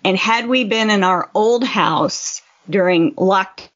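Middle aged woman chatting on a podcast, normal pitch, slightly muffled lo-fi sound. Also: slow, a bit bright. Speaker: podcast co-host. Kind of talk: casual chat.

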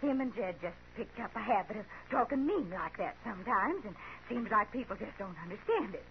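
A woman's voice: mid-range voice, expressive, brisk, lightly acted. A woman playing a talkative, meek old frontier mother in a radio Western, with a folksy rural American accent. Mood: anxious, pleading. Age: older. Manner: folksy, chatty, apologetic. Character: lonely, talkative old frontier mother, meekly devoted to her son. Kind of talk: 1950s radio Western acting. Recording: muffled, noisy 1950s radio recording.

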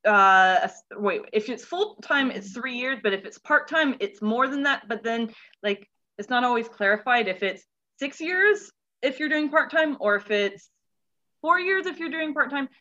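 A young woman in her twenties speaks as an interview guest in a bright, forward voice. She talks in a candid, informal way about herself, often checking her own words. The audio is slightly dull and lo-fi. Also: sits high, expressive, moderate pace. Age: 20s. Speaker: children's pastor, interview guest. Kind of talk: casual interview chat.

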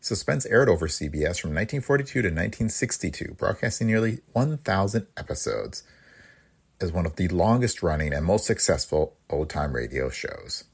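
A man hosts a podcast with scripted narration. His voice is very low and creaky, with a husky grain, and his pitch moves in a lively way. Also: fairly quick. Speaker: podcast host.

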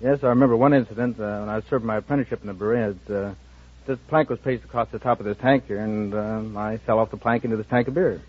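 Husky, plain-spoken American male contestant. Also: on the low side, fairly even intonation, fast talker. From Nebraska, United States. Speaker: quiz contestant, brewmaster. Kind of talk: brief conversational answers on a radio quiz. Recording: dull old radio recording, audience behind.

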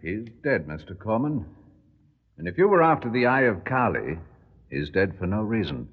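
An actor playing a composed, authoritative museum official, measured and knowing, in old radio drama style. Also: male voice, on the low side, sing-song, husky. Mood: grave, knowing. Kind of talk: 1960s radio drama acting. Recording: muffled, reverberant old radio recording.

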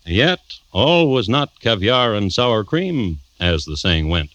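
A man narrating a scripted radio story in a wry, droll, deliberate way. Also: on the low side, sing-song, fairly bright tone, dry recording, dull audio. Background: music.

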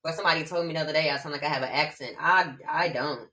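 A woman from Omaha, a laughter yoga teacher, chatting casually in an animated, slangy way. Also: sits low, racing. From Omaha, Nebraska. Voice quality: animated, slangy, spiritual talk with bursts of laughter. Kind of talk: casual chat.

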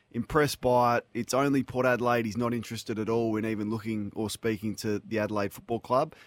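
Brisk Australian sports radio host. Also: male voice, on the low side, dry recording. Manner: brisk, news-delivering.

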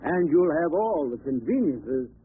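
A narrow old broadcast with faint noise carries a man giving a sponsor's message. He is formal and authoritative, explaining with animated inflection in 1940s commercial style. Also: a little high, a little slow. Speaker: radio advertising spokesman. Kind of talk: scripted 1940s radio commercial read.

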